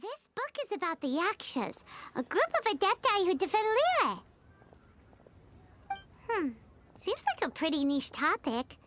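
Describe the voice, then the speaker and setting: A woman voicing a small, cute, curious fairy companion in a video game, with a squeaky childlike voice and expressive delivery. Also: unhurried, pauses often, background noise, heavily acted. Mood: thoughtful, concerned. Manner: refers to self in third person, exclaiming, expressive. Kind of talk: video game character dialogue.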